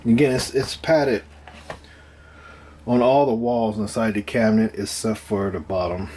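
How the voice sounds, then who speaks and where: A man giving a casual, hands-on demonstration of his own DIY project, talking in a halting, unscripted way as he thinks aloud, with some noise in the recording. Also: fairly low pitch, steady tone, darkish tone. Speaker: DIY speaker builder presenting own project.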